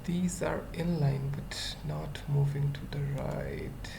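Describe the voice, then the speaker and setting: A tutorial presenter thinks aloud at a very slow pace, in short murmured pieces broken by long pauses. The voice is of middle pitch and full of vocal fry. The recording is clearly noisy, with keyboard typing behind it. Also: steady tone. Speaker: web developer giving a tutorial. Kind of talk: unscripted coding screencast, thinking aloud. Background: computer keyboard typing.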